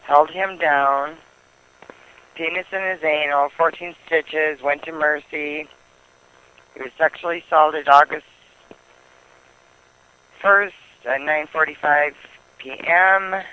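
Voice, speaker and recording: A man on a phone call, answering in a plain, deliberate, unruffled manner. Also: on the high side, fairly even intonation, pushed and bright, clear, resonant tone. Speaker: call taker, prank call target. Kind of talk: phone conversation.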